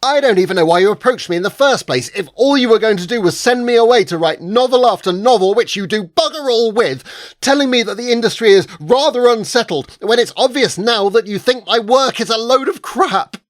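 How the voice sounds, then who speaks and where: Brief: male narrator as an angry writer, loud and high, dry read. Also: wildly swooping pitch, moderate pace, dry recording, heavily acted. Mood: furious. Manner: indignant, shouting. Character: frustrated male novelist, quick to anger.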